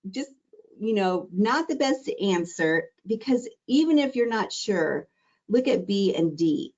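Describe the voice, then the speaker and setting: A woman's voice: on the low side, lo-fi sound. Encouraging woman teaching a diabetes webinar. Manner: warm, encouraging, conversational teacher. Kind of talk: live online exam-prep lesson.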